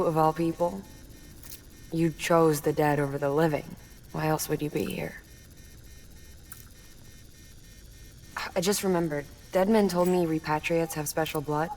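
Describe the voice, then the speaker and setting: A woman voicing a quiet grieving mother. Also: deep voice, steady tone, normal speed, long pauses, a little hiss or hum, close to an everyday voice, poor audio. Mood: earnest. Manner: quiet, reflective, confiding. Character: grieving young mother, calm and resolute. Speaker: mother character. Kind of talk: video game cutscene acting.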